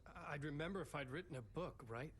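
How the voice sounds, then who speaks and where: Man acting as bewildered novelist, hesitant, naturalistic game drama, slightly raised pitch, light background noise. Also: fast talker, fairly bright tone, natural voice. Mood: uneasy disbelief. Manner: hesitant, confused. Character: bewildered, uneasy male novelist as talk show guest.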